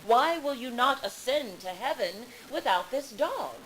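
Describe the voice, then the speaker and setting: A solemn lord of heaven, read by a woman storyteller makes formal, declamatory pronouncements. The words come very slowly, over faint noise from a live event. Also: a little high, expressive, fairly bright tone, voice pushed up, poor audio. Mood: stern. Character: Indra, solemn male god, lord of heaven.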